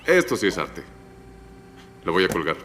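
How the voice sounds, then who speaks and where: A man's voice: deep voice, very animated, moderate pace, in a large hall. A Mexican-accented man playing an admiring character in a dub. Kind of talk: dubbing acting.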